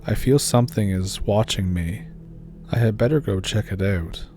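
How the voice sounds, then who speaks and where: A man calmly reading scary stories aloud as the narrator of a horror channel. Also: on the low side.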